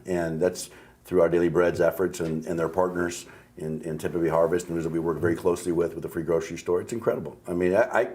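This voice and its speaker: Very deep-voiced earnest man. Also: darkish tone. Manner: earnest, joking. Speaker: guest, husband. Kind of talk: interview conversation. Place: TV studio.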